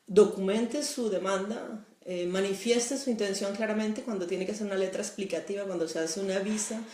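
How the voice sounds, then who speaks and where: A woman's voice: mid-range voice, a little mellow. A Colombian-born woman working as an immigration lawyer, answering viewer questions in a live stream, with frequent hesitations. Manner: hesitant, many fillers, explanatory. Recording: echoey room.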